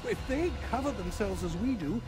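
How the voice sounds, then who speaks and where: An actor voicing a frightened, pleading cleric in a video game, stammering and deferential. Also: male voice, high-pitched, sing-song, normal speed. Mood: desperate. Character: frightened, pleading male cleric protesting his innocence. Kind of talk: video game drama acting. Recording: dramatic music behind the voice. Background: music.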